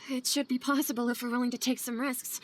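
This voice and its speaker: A woman's voice: high-pitched, flat delivery, quick pace, faint music behind, lightly acted. An actress voicing a weary, anxious female scholar in video game dialogue, earnest, hesitant and confessional in manner. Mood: cautious, assuring. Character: guilt-ridden female scholar, weary, anxious assistant to sage. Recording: game audio over clear ambient noise.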